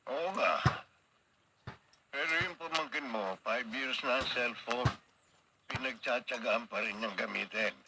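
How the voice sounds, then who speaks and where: A man's voice: medium pitch, measured pace, long pauses, natural voice. An actor playing a patient, fair-minded middle-aged father in a radio drama, mild and reasoning. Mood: reasonable. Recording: very noisy radio drama broadcast.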